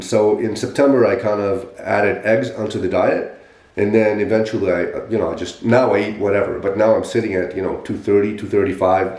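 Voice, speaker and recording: A man in his forties telling his own fitness story in a casual podcast chat, animated and emphatic, with frequent restarts. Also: lowish voice. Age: 40s. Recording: echoey room.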